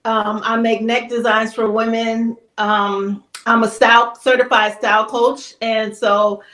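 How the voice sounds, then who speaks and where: A woman's voice: on the high side, little pitch movement, unhurried, fairly bright tone. A woman in her fifties from Chicago, a guest chatting casually about her life. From Chicago, USA. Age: 50s. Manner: chatty, loose storytelling. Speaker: guest, hairstylist. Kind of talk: casual interview. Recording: echoey room.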